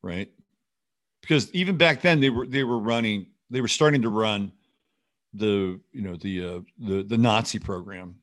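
A man's voice: lowish voice, normal speed, fairly bright tone. A man hosting a show with rambling, skeptical, sardonic and often profane unscripted political commentary.

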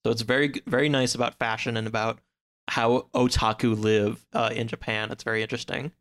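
A man speaking as a podcast guest in a casual, somewhat halting conversational way. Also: medium pitch, little pitch movement, fairly bright tone. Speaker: podcast guest and co-host of another show.

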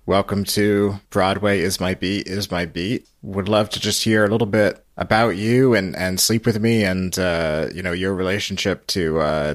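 Very low-pitched man hosting a podcast. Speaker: podcast host. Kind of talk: scripted podcast introduction, then conversational interviewing.

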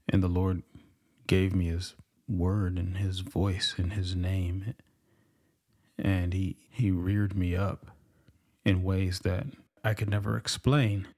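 Low-voiced man musing on faith. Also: a little mellow. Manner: musing, halting, many restarts and fillers. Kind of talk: reflective devotional monologue.

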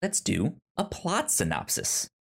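A man's voice: a little high, huge pitch swings, a little slow, gravelly, a bit bright. A man hosting a podcast in casual conversation, with a stutter that repeats sounds and words. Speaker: podcast host.

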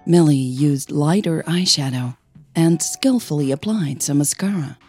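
A woman with a low, mellow voice tells a scripted story at an unhurried pace. Her delivery is measured and set out phrase by phrase, as a podcast narrator reading fiction. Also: breathy edge, faint music behind.

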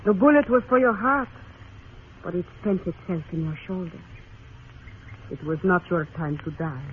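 A woman's voice: fairly low pitch, moderate pace, natural voice. A mysterious young woman played by an actress speaks from Mexico, poetic and fatalistic. It is vintage Western radio drama, tinny and faintly noisy. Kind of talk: 1950s radio drama acting.

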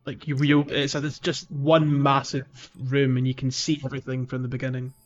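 A man's voice: mid-range voice, monotone, normal speed, lo-fi sound, faint music behind. A man hosting a casual video game podcast, explaining things in a hesitant, rambling way. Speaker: podcast host.

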